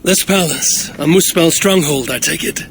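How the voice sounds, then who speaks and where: Imperious Norse god, fairly high male voice, curt, archaic phrasing, video game drama, noticeable background noise. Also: normal speed, a bit bright. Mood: stern. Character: commanding, imperious Norse god lord of Asgard. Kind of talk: cinematic video game acting.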